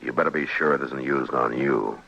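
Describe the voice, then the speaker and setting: A man's voice: a bass voice, fairly quick, gravelly. An actor playing a stern, weary frontier lawman in a radio Western, speaking tersely and dryly with American authority. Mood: firm, weary. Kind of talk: old-time radio Western acting. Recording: muffled old radio recording, background bustle.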